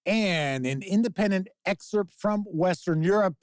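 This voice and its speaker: A man's voice: high-pitched, measured pace, no room sound, studio quality. A man with a Chicago accent hosting a casual livestream of tabletop gaming news, chatty and jokey as he talks with his chat. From Chicago, USA. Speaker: host.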